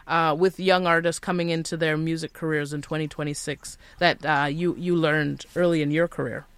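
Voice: mid-range voice, fairly even intonation, moderate pace, a bit bright, clean tone, no room sound. An interview host asking questions with hyped, enthusiastic slang exclamations. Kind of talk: casual interview.

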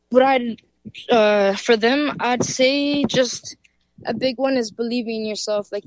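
A woman's voice: fairly high pitch, a little mellow, no room sound, poor audio. A woman from Canada, a musician answering interview questions in a loose, casual way full of fillers. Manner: loose, filler-heavy, with frequent "like". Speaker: musician guest.

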